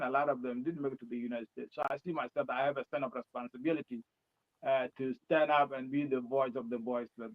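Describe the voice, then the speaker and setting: A man with a South Sudanese accent, speaking English learned later in life, answering interview questions in a halting way with many restarts. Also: medium pitch. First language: his mother tongue and Arabic. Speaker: guest, presidential candidate. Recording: dull lo-fi sound.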